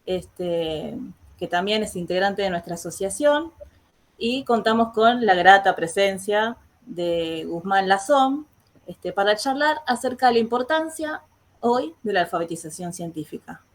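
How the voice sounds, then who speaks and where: An Argentine woman hosting an online educational talk, pausing often on filler words as she introduces guests and asks questions. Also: medium pitch, animated. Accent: Argentine Spanish. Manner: hesitant, many filler words. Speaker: host. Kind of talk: casual online interview.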